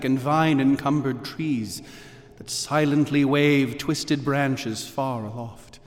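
An actor playing a hooded, cloaked man who slowly recites mournful words in a radio drama. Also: medium pitch, close to an everyday voice. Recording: long hall reverb.

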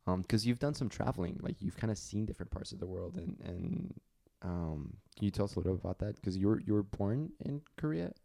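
Easygoing male host with a low voice. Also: sing-song, fairly quick, creaky, warm tone. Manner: easygoing, with fillers and repeated words. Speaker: podcast host. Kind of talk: casual interview.

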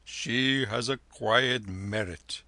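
A man reading aloud, voicing a gentle, weary old holy man who speaks slowly and deliberately. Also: medium pitch, no echo. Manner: slow, weary, measured.

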